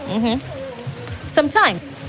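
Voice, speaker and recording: A woman's voice: sits high, lively intonation, very slow, heavily acted. An actress playing a nosy, skeptical young Nigerian woman in an audio drama. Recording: music playing under the voice.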